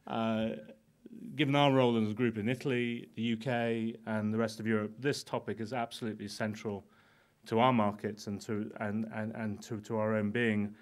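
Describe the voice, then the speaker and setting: Halting man giving a welcome speech. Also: on the low side. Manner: halting, many stammered restarts. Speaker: host welcoming a conference. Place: conference hall, indoors. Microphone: lectern mic.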